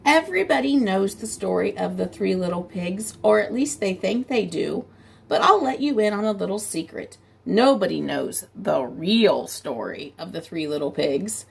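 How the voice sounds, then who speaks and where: A woman voicing a smooth-talking male wolf who pleads his innocence in a storybook, with a gravelly, husky voice and a chatty, persuasive manner. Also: medium pitch, big pitch swings, measured pace, heavily acted.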